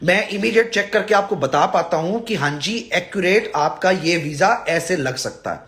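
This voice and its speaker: High-pitched, scolding man. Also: expressive, normal speed, a bit bright. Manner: blunt, scolding, exasperated; emphatic, repetitive pleading. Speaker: visa advisor and live-stream host. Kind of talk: live social media address to followers.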